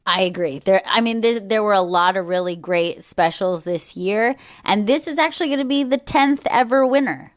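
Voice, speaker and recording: A woman's voice: mid-range voice, normal speed, few pauses, dry recording, studio quality. A woman co-hosting a comedy talk show in a casual, conversational style.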